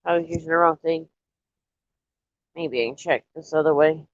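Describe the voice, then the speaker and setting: A woman chatting casually to viewers on a live stream, a little nasal and stuffy from allergies, rambling in a relaxed way. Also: low voice, moderate pace, no echo, dull audio. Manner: rambling, relaxed, talks straight to chat.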